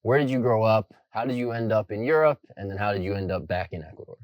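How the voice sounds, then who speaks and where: A man hosting an interview, relaxed and friendly, with plenty of fillers. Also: fairly low pitch, fast talker, dry recording. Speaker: interview host. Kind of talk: casual interview.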